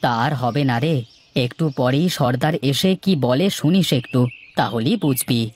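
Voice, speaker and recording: A man's voice: medium pitch, animated, moderate pace, close to an everyday voice. Man acting a good-natured village labourer. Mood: placating. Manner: homely, good-natured, conciliatory. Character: hardworking village labourer, affectionate husband and father, placating. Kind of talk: cartoon dialogue acting. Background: background music.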